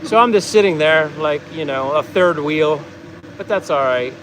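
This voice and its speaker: A talkative American man hosts a relaxed livestream, drifting from one thought to the next in an easygoing ramble. His voice sits a little higher than most men's. Steady road noise from passing traffic runs underneath the whole time. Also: moderate pace. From United States. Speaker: vlog host reading viewer comments. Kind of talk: casual livestream chat.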